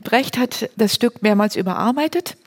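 A woman's voice: a little high, moderate pace. A woman hosting, introducing material in a conversational way. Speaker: host.

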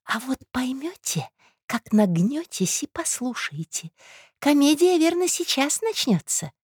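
A nosy storybook gossip voiced by a woman narrator with a raised, animated voice. She is brusque and conspiratorial, needling her listener with spite. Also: high voice, measured pace, no room sound, studio quality, pitched above the performer's own voice.

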